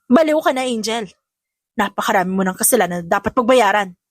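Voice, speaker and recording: A woman's voice: mid-range voice, expressive, quick pace, no echo, lightly acted. A defiant young mother from an audio drama, voiced by a woman narrator. She sounds fierce and protective of her own. The sound is close and dry. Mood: angry defiance. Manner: fierce, indignant.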